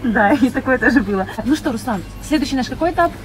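A woman co-hosting a children's vlog, chatting in an animated way and addressing young viewers directly. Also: sits high, quick pace, poor audio. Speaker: off-camera co-host of a family children's vlog. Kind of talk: upbeat kids' channel presenting and chat. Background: background music.

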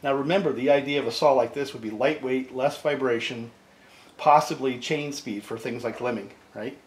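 A man's voice: medium pitch, normal speed. Chatty man explaining in an echoey workshop. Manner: chatty, explanatory, says "right?" often. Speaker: chainsaw repair video host. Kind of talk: casual workshop demonstration. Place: cluttered home workshop, indoors. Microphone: camera mic on a tripod, speaker at the workbench. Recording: echoey workshop with background noise.

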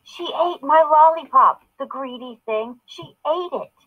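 An indignant young girl from a storybook, acted out by a woman. The voice is high and pleading, full of a wronged older sister's protest. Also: animated, unhurried, phone-quality sound.

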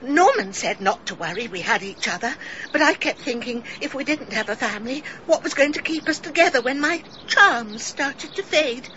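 Radio play: middle-aged English villager, genteel, melodramatically confessional, high-voiced, piercingly bright. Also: female voice, animated, normal speed, lo-fi sound, a little hiss or hum. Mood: plaintive. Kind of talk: British radio drama acting.

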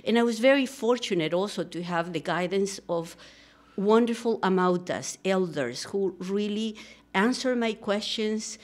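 A woman's voice: medium pitch, a little slow. A middle-aged woman from Peru with a Peruvian Spanish accent, speaking earnestly as a guest in a radio studio interview, with many fillers and restarts. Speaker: guest, social psychologist. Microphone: studio microphone, headphones.

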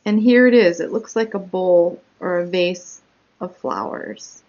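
A woman reading a picture book aloud and commenting on it in an unhurried, gently explanatory manner. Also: lowish voice, muffled recording. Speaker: storyteller, art teacher.

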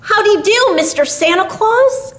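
A woman's voice: very high voice, lively intonation, measured pace, pitched above the performer's own voice. A woman voicing a brave, trusting little lost boy in a storybook, earnest and childlike. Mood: eager greeting. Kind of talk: storybook character voice.

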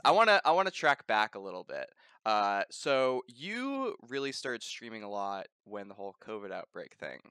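A man's voice: lowish voice, wildly swooping pitch, moderate pace, bright, forceful tone, clear, resonant tone, dry recording, studio quality. A young man in his twenties hosting a casual interview, talking in a rambling way with many restarts, recorded on a headset mic in his bedroom. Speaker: podcast interviewer. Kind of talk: casual interview over voice call. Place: bedroom, indoors. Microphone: gaming headset mic.

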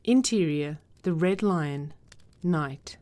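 A woman narrates a drama script with a low voice and slow pace. Her delivery is measured and descriptive, setting out each stage direction. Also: dark tone.

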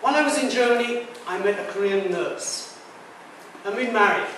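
A man in his sixties gives a talk with a fairly high voice, recorded from across a very echoey room. He is chatty and jokes with the audience, and faint room noise sits underneath. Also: moderate pace, fairly bright tone, distant mic. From Britain. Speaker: guest speaker. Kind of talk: public talk. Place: large echoey lecture room. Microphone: far camera mic.

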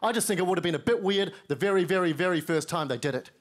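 High-voiced New Zealand comedian on stage. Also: male voice, fast. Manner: wry, self-mocking, acts out quoted lines in lower voices. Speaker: stand-up comedian. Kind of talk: stand-up set. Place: large TV studio stage. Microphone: handheld mic. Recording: studio stage with audience noise. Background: studio audience laughter.

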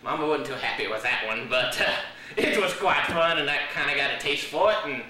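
배우가 연기하는 허풍 센 호주 악어 조련사 남자, 농담을 좋아하고 느릿한 시골 말투에 과장된 호주 억양, 멀리서 울리는 방에서 녹음됨. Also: male voice, fairly high pitch, a little fast, sharp tone. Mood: folksy boastful. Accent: put-on Australian. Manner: jokey, folksy, drawling, laughs at own jokes. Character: boastful joking Australian crocodile handler, man. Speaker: guest playing a crocodile dentist. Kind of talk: comedy sketch acting. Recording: echoey room, heard from a distance.

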